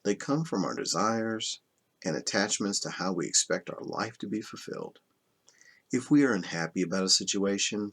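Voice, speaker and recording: Low gravelly guy narrating a self-help audiobook, measured and instructional, voice moves up and down a lot. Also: a bit bright. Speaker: audiobook reader.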